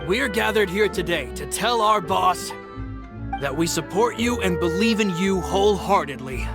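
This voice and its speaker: A man's voice: high-pitched, lively intonation, moderate pace, vocal fry. An actor playing a polite, loyal male attendant who speaks formally in a game. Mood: courteous. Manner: formal, courteous. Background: game soundtrack music.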